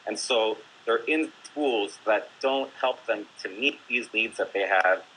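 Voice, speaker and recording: A man's voice: lowish voice, unhurried, pushed and bright, slight background noise, low-quality recording. A man, a teacher, speaking as a guest on a discussion panel, explaining earnestly with many fillers.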